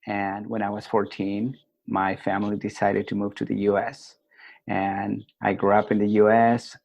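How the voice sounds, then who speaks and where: A man in his forties from Peru, a guest telling his own story slowly and reflectively, with many pauses and fillers. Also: on the low side, darkish tone, low-quality recording. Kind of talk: personal storytelling in an interview.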